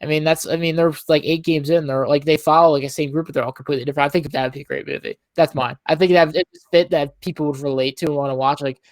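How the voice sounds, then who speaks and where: A man talks casually as a podcast co-host in a clear, somewhat high voice. The sound is dry, and his delivery is loose and informal, hedging as he thinks aloud. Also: fairly even intonation, fast talker, few pauses, no echo. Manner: hedging, informal, leans on "like" and "I mean". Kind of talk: casual chat.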